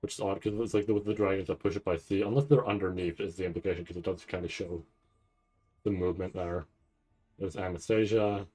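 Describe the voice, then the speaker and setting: A man giving casual, off-the-cuff commentary on a video, thinking aloud in a halting way and often repeating himself. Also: low voice, steady tone, dark tone. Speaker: anime reaction streamer.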